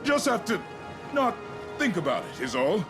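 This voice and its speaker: A man's voice: high voice, sing-song, normal speed. A man playing a resolute army captain in a fantasy game cutscene, earnest and commanding. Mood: self-steadying. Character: resolute human soldier, a captain from Gondor. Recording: loud cinematic music behind.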